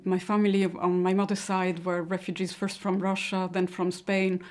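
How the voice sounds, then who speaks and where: A middle-aged woman who grew up in Italy, giving a personal account in a hesitant, halting way. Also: lowish voice, level tone. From born in Mexico, grew up in Italy. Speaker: interviewee giving personal testimony.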